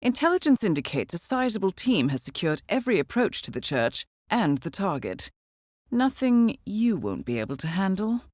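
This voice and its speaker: An actress playing a cool, dryly witty spy handler in a video game, with a British accent and a measured, polished, understated delivery. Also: female voice, mid-range voice, sing-song, a little mellow, dry recording, studio quality. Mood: briefing, composed. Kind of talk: scripted video game voice acting, mission briefings.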